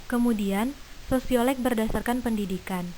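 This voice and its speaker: Young woman, twenties, student lecture, measured, hesitant fillers, lightly raised pitch. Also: darkish tone, a little hiss or hum, rough recording. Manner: earnest, measured, with frequent "eh" hesitations. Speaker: linguistics student presenter. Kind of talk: reading an explanatory lecture.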